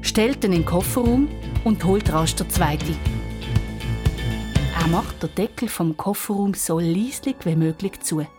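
A woman's voice: fairly low pitch, animated, a little slow, music in the background. A woman narrating a scripted children's story in Swiss German as the storyteller.

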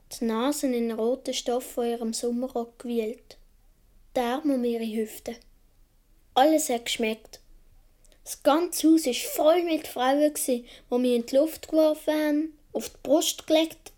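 Female actor as dreamy little Swiss German boy narrating radio drama; high, soft-toned, wistful and unhurried. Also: lightly acted. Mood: dreamy, tender. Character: dreamy young Swiss boy recalling his earliest childhood.

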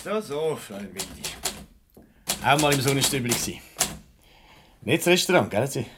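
An actor with a Swiss German accent playing an awkward, patronising middle-aged married boss in a radio comedy, hemming and ingratiating. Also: male voice, mid-range voice, big pitch swings, normal speed, background noise, natural voice. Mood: jovial, probing. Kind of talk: light radio comedy play acting.

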